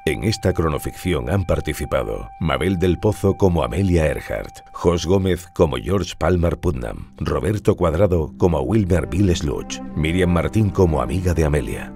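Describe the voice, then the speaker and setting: A male announcer reads scripted credits. His voice is extremely deep and gravelly, with soft music behind it.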